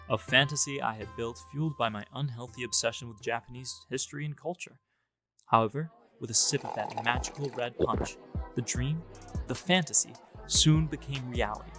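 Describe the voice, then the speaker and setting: A man's voice: mid-range voice, a bit bright, natural voice. A man playing a grandiose, deluded young ninja vigilante, solemn and melodramatic in first-person story narration. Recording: music behind the voice.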